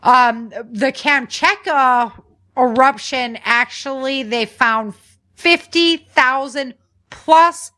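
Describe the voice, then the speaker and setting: A woman's voice: high-pitched, takes it slowly, pushed and bright. An American woman hosting a podcast, running through news in a chatty, informal way with slangy casual commentary. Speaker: podcast host.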